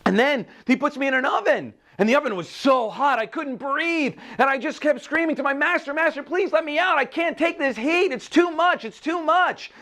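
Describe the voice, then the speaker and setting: A man's voice: very high-pitched, steady tone, fast talker, hardly any pauses, bright, forceful tone, voice pushed up. A man voicing a fragile talking teacup from a story, panicky and melodramatic, begging over and over. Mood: panicked, pleading. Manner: breathless repetitive begging, melodramatic.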